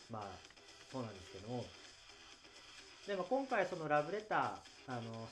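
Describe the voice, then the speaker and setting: A man hosting a casual solo podcast after-talk, explaining things in a hesitant, conversational way. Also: medium pitch. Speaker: podcast host.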